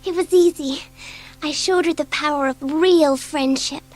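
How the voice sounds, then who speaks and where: A woman's voice: high-pitched, measured pace, darkish tone, low-quality recording. A woman playing a happy, sincere young girl, a loyal best friend, in a dramatised audiobook. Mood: happy, fond.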